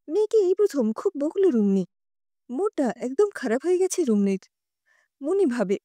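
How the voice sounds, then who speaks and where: A woman narrating a scripted dramatic audio story in an expressive, emotional way, as a storyteller. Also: sits high, no room sound, clean studio sound.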